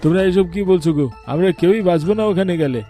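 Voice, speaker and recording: Casting: man as a frightened cartoon villager, worried and pleading, voice pushed high and soft-toned. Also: quick pace, faint music behind, close to an everyday voice. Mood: anxious.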